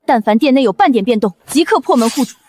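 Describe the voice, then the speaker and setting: A woman playing a shrewd, devoted general's wife, formal and measured, in a Chinese costume drama. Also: fairly high pitch, expressive, a little mellow, no echo. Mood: urgent, commanding.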